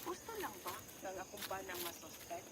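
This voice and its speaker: A woman's voice: mid-range voice, big pitch swings, brisk, very noisy, natural voice. A woman acting a worried wife who recounts her family's troubles in a radio drama, with a Cebuano Filipino accent. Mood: sorrowful, recounting.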